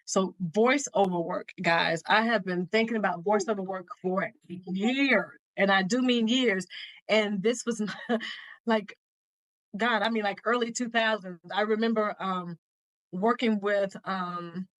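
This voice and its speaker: Middle-aged woman, podcast co-host, mid-pitched voice, casual and encouraging, speaking straight to listeners in a dry space. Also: unhurried, no room sound, low-quality recording. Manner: addresses listeners directly, encouraging. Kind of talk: casual conversational advice podcast.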